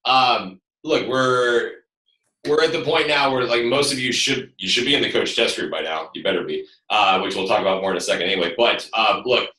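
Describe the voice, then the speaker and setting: A man with a booming voice, a fitness trainer explaining things casually and emphatically on a call, a little away from his computer microphone. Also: brisk, pushed and bright. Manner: direct, emphatic, often says "look". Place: echoing room, online call. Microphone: computer, some distance away. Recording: roomy, distant computer microphone.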